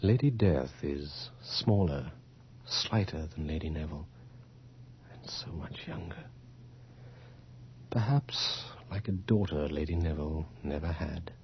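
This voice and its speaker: An actor playing a grave, solemn storyteller, narrating a supernatural radio drama in hushed, lingering phrases. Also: male voice, rumbling low, a little slow, a little hiss or hum. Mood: tender, hushed. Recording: dull old radio drama with music.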